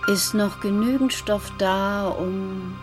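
An actress playing a gentle middle-aged mother in a children's radio play, speaking tenderly and a little hesitantly. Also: mid-range voice, fairly even intonation, takes it slowly, loud music behind the voice, lightly acted.

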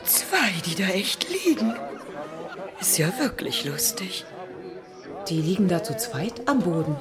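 An actress playing an amused, nosy party guest in a radio play, watching others. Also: female voice, fairly low pitch, very animated, slow pace, over music, crowd noise.

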